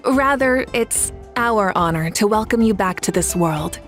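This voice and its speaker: A woman voicing a confident, gracious female leader in a game cutscene, speaking in a warm, composed and courteous manner. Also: mid-range voice, lively intonation, unhurried, soft background music, close to an everyday voice.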